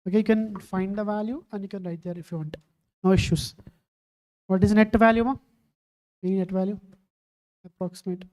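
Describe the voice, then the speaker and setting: Mellow-voiced woman teaching. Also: medium pitch, fairly even intonation, fairly quick, long pauses. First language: Telugu. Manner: keeps quizzing students, calling them "ma". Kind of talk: online exam-prep lecture.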